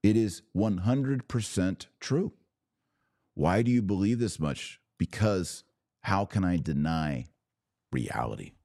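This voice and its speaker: Male podcast host, very low voice, close dry sound, earnest religious commentary, emphatic preacherly delivery. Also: expressive, takes it slowly, mellow, no echo. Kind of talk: live religious commentary to viewers, with exhortation and prayer.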